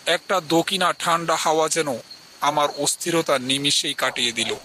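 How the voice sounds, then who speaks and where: A man's voice: on the high side, moderate pace, background noise. A man narrating a scripted first-person horror story in a dramatic, suspenseful way.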